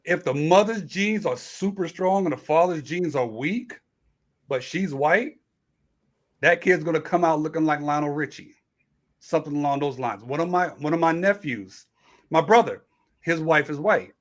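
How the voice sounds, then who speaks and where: A man's voice: on the high side, moderate pace. A man hosting a casual late-night panel discussion, explaining at length and restarting his sentences. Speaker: host.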